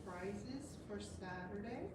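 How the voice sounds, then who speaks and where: A woman's voice: medium pitch, slow, darkish tone. An older woman in a crafting group, chatting casually, teasing and blunt in her opinions. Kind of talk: casual chat. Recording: echoey room with clear background noise.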